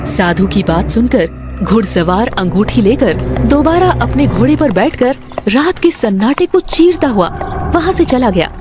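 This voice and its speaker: A woman's voice: a little high, sing-song, unhurried. A woman narrating a scripted story in a dramatic style. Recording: music under the voice.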